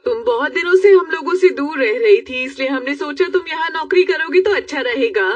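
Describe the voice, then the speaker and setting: A woman's voice: sits high, expressive, fairly quick, dry recording, distant mic. A woman playing a nagging female relative in a dubbed family cartoon. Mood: coaxing, reproachful. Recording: muffled lo-fi cartoon audio.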